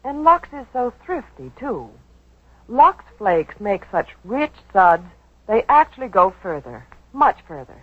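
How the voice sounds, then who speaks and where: A woman's voice: medium pitch, very animated, unhurried, husky, dry recording. A woman performing scripted 1940s radio advertising dialogue with an emphatic, knowing sales delivery. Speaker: commercial spokeswoman. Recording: dull 1940s radio broadcast recording.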